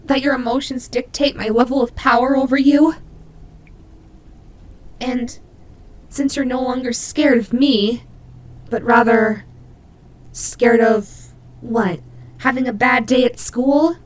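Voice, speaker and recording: A high-pitched tsundere demon bunny, acted by a woman for an audio roleplay. She is proud, huffy and easily flustered, stammering her objections and drawing her words out very slowly. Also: steady tone, a little hiss or hum. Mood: grudging resignation. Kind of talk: scripted audio roleplay acting.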